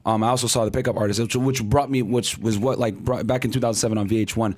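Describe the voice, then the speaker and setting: A man in his thirties telling his story as a podcast guest, in casual, rapid talk full of fillers and acted-out quotes. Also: fairly low pitch, steady tone, darkish tone. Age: 30s.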